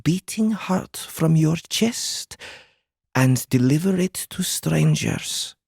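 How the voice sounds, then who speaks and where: A cryptic female psychic for an audiobook drama. She speaks slowly in a creaky, fry-laden voice, ominous and drily sardonic. The recording is close and free of room sound. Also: low-pitched, lively intonation, darkish tone, no room sound, full character voice. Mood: portentous. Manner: slow, portentous, dry.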